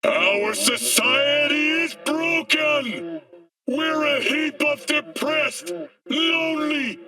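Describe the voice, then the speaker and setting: High-pitched, fiery street preacher. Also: male voice, extremely slow, recorded from a distance, crowd noise, exaggerated voice, rough recording. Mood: angry, urgent. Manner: impassioned, declamatory, preaching. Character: fiery male street preacher ranting against corporate dystopia. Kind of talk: dramatic video game monologue.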